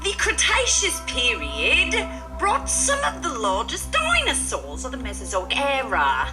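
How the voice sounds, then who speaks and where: A woman's voice: sits high, huge pitch swings, a little slow, over music. A woman presenting a playful mock documentary in a theatrical, exclaiming manner. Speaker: presenter of a student educational newsreel.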